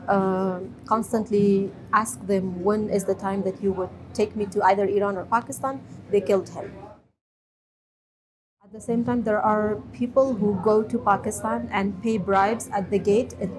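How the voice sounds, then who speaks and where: A woman in her thirties from Afghanistan speaks at a middle pitch in a measured way, pausing and restarting as she goes. A crowd murmurs clearly behind her. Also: rough recording. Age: 30s. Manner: measured, with frequent pauses and restarts. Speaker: interviewee. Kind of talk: personal testimony.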